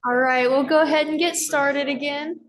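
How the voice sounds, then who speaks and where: A woman's voice: high voice, steady tone, moderate pace, slight background noise. A woman emceeing a meeting, introducing the next speaker. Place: meeting room.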